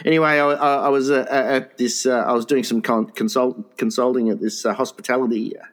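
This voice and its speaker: A man's voice: steady tone, fairly quick. A man co-hosting a comedy podcast, telling rambling stories with frequent laughs and restarts. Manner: rambling, giggly, puts on high voices for quotes. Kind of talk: improvised comic banter.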